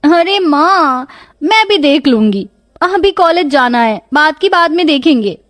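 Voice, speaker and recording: Woman playing a high-voiced carefree young city girl. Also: animated, measured pace, a bit bright, dry recording, clean studio sound. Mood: breezy, defiant.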